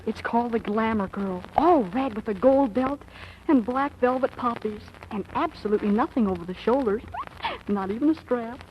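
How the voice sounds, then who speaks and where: Actress as gushy, melodramatic girl of fifteen, high-pitched, old muffled radio sound. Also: normal speed, lightly acted. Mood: eager, dreamy. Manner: gushing, breathy hesitations and exclamations. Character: lovestruck, melodramatic fifteen-year-old girl, aspiring writer. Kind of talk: 1940s radio acting. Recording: muffled, noisy vintage radio recording.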